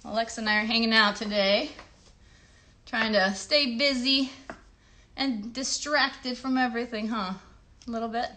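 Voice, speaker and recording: A woman's voice: on the high side, steady tone, normal speed, pauses often, sharp tone. A woman hosting a children's livestream, chatting casually to young viewers with cheery, sing-song greetings. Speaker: host of children's livestream, mother.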